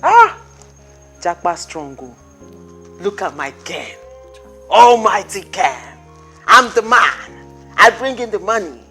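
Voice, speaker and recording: A woman's voice: medium pitch, sing-song, slow, long pauses, a bit bright, slightly rough, soft background music, full character voice. An actress playing a wife who mocks her kneeling husband as she prepares to leave the country. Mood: scornful. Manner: mocking, scornful. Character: defiant wife about to emigrate with her children.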